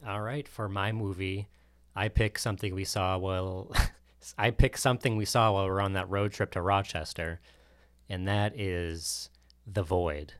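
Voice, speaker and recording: A man's voice: low-pitched, fairly even intonation, normal speed, fairly bright tone. A man co-hosting a podcast, talking through a film in a casual, rambling way with frequent asides. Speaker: podcast co-host. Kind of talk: casual movie discussion.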